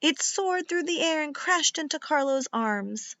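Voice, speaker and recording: A woman reading a children's book aloud like a teacher, in an expressive, deliberate and instructive way. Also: high voice, no echo, lo-fi sound. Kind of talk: animated read-aloud narration for young children.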